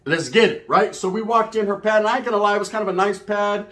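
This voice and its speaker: A man's voice: high-pitched, fast. An American man casually telling a bawdy comedic story in slangy language, acting out the dialogue and often checking in with the listener. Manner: slangy, animated, acts out dialogue, checks in with "right?". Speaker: storyteller, channel host.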